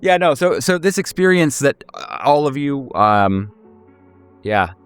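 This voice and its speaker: A man speaking as game master, improvising horror narration and casual chat in a halting way, often rephrasing himself. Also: medium pitch, big pitch swings, clear, resonant tone, faint music behind.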